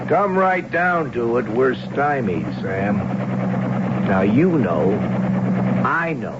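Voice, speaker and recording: A man's voice: mid-range voice, animated, takes it slowly. Radio play role: weary older male investigator, folksy American drawl, resigned and patient, very noisy vintage sound. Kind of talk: 1970s radio drama acting. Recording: muffled, very noisy old radio recording.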